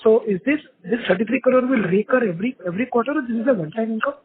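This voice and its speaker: High-pitched Indian man over telephone. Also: racing. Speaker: analyst asking questions. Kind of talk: earnings call questioning. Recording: narrowband phone line.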